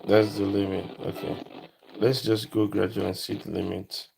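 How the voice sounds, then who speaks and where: A man giving a casual, unscripted electronics demonstration, thinking aloud in short, halting phrases. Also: lowish voice, flat delivery, gravelly, background noise. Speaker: electronics hobbyist presenter.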